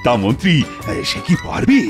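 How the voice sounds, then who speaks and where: A man's voice: medium pitch, wildly swooping pitch, normal speed, over music. A man playing a gullible, anxious king in a comic cartoon. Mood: anxious, eager.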